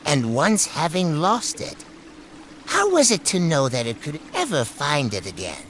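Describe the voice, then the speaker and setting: Expressive storyteller with widely swinging pitch. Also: a little slow, slight background noise. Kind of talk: expressive scripted storytelling, reading a folk tale aloud.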